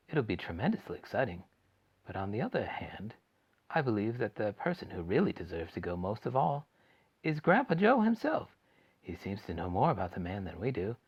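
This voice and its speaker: A man voicing a gentle, tired working father who speaks softly and unhurriedly. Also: lowish voice, very animated, normal speed, slightly rough, heavily acted. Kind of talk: audiobook character voice. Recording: dull lo-fi recording.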